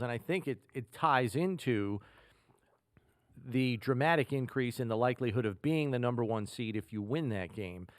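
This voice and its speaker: A man with an American accent hosting a football podcast, talking through statistics in a measured, analytical, conversational way. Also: mid-range voice, no echo. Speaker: sports podcast host.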